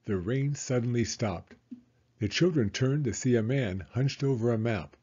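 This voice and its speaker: Guy reading a kids book, mellow slightly husky voice, really expressive, bit muffled recording. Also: medium pitch, normal speed. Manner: expressive, dramatic. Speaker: children's story reader. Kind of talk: scripted storybook narration.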